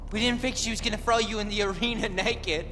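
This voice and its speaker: An actor playing an excitable, wheedling British sidekick in a comic video game cutscene. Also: male voice, high voice, fast, in a large hall, background noise, natural voice. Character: excitable, wheedling male stoner chancer, bickering sidekick.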